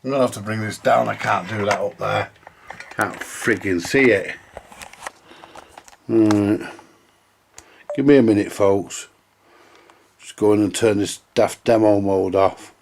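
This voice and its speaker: A man's voice: lowish voice, fairly even intonation, unhurried, gravelly, pauses often, a little hiss or hum. A man with a British accent giving casual, grumbly, mildly sweary commentary to viewers while doing hands-on repair work. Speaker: host of a vintage radio repair vlog.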